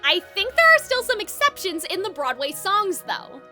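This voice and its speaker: A woman's voice: extremely high pitch, sing-song, normal speed, bright, forceful tone. A woman giving opinionated, conversational video essay commentary as the host. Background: background music.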